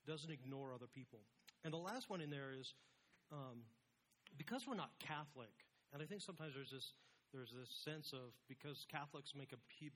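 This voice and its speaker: This is a man giving a sermon in a relaxed, conversational way. His voice sits a little higher than most men's. He comes across as casual and self-deprecating, and there is some live ambient noise in the recording. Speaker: pastor preaching to a congregation.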